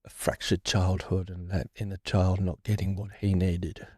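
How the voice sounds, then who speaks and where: A man in his forties, born in Ireland and raised in London, speaking as a guest in a recovery interview in a reflective, halting way with frequent restarts. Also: low-pitched, flat delivery, darkish tone, husky, dry recording, studio quality.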